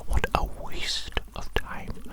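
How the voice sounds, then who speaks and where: A performer voicing a pompous, vain evil scientist in a breathy, unvoiced ASMR whisper, with theatrical, repetitive phrasing. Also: takes it slowly, natural voice. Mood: indignant, self-pitying.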